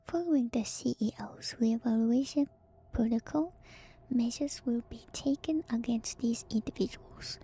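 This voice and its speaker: A woman doing ASMR in a breathy whisper, reading lore aloud with hushed, enthusiastic casual commentary. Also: a little high. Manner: hushed, slow, enthusiastic asides. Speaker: streamer and lore reader. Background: music.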